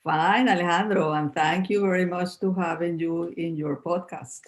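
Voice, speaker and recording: A woman's voice: fairly low pitch, steady tone, normal speed. A woman in her fifties from Venezuela, a native Spanish speaker, answering interview questions in a podcast in an earnest, slightly formal way. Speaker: guest.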